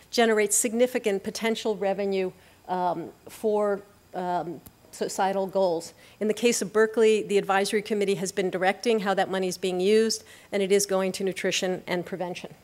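A middle-aged woman giving a conference presentation at a lectern microphone, summarizing findings in a measured question-and-answer way with frequent ums. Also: medium pitch, a little mellow. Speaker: research presenter.

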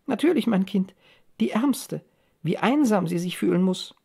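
A doting middle-aged mother voiced by a female reader in an audiobook. She sounds earnest and motherly, gentle and easily astonished. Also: mid-range voice, lively intonation, moderate pace, vocal fry, husky, pitched above the performer's own voice. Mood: sympathetic. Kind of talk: audiobook character dialogue.

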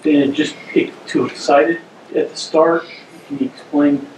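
A man's voice: on the high side, fairly even intonation, a little slow, a little mellow. A man, a sports reporter asking questions at a press conference. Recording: distant, echoey, noisy room.

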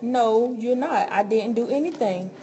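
A woman's voice: on the high side, a little slow, warm tone, dull audio, natural voice. A young woman playing a blunt, indignant character in an amateur radio play. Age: young adult. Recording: faintly noisy amateur recording.

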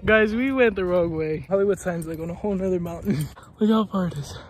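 Young male vlogger, high and eager, phone at arm's length on a hillside, music bed. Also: a little fast. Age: young adult. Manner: excitable, laughs often, talks to camera. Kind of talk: casual hiking vlog. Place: outdoors on a hillside trail. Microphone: phone held at arm's length.

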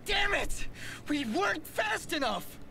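A man's voice: extremely high pitch, sing-song, slow, vocal fry, sharp tone, slight background noise, exaggerated voice. A man voicing a brooding young male swordsman hero in a game, speaking with anguished intensity. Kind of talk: game cutscene dialogue.

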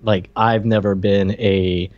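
An American man in his thirties or forties chatting casually as a podcast co-host, halting and dryly joking. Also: fairly low pitch, little pitch movement, a little mellow, dry recording, lo-fi sound. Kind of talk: casual pop-culture chat. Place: room at home, on a video call. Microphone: desk microphone up close.

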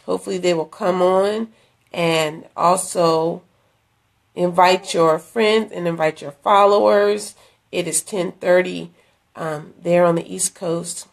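A middle-aged woman, hoarse from a cold, chatting earnestly into a phone at arm's length in a dim room, inviting viewers to an event. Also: lowish voice. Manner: chatty, earnest, with many fillers and restarts. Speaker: ministry leader promoting an event. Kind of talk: casual live-stream invitation. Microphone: phone held at arm's length.

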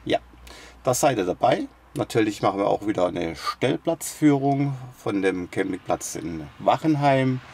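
A man's voice: lowish voice, measured pace, creaky. A man in his fifties chatting casually inside a camper van and narrating a travel vlog. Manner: relaxed, chatty, agrees often. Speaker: travel vlogger. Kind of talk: casual chat and voice-over narration.

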